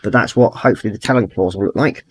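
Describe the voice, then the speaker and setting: A middle-aged man with a croaky throat, chatting easygoing and rambling as he hosts a livestream, reading out messages from chat. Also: on the low side, very fast. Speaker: livestream host. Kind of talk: casual livestream chat while painting models.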